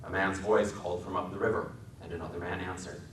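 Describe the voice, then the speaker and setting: A man's voice: low voice, steady tone, quick pace. An American man narrating a literary story as a dramatic reading. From Wisconsin, United States. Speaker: author and dramatic reader. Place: public library event room. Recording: echoing hall, distant mic, faint noise.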